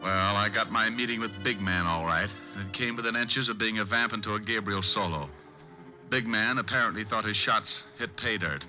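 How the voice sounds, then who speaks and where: An actor playing a wisecracking, world-weary private detective with a sardonic, punchy delivery in an old radio drama style. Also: male voice, on the low side, moderate pace, lightly acted, rough recording. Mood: wry narration. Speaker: lead actor and narrator. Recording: vintage radio recording with faint music.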